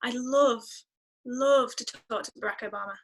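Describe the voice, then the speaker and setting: Woman, high bright voice, effusive, emphatic, casual podcast guest, repeats words for stress. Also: normal speed. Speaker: interview guest, musician. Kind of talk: casual podcast interview chat.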